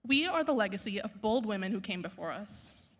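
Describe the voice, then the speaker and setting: A young woman whose first language is Urdu speaks at a podium mic on a school stage. Her delivery is earnest and measured, and she builds her points through rhetorical lists. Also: mid-range voice, expressive, fairly bright tone. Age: young adult. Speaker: valedictorian. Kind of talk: formal graduation speech.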